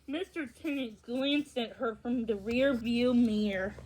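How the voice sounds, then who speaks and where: Woman narrator, children's read-aloud, high pitch, slow, halting, repeats words. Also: very noisy. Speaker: story narrator.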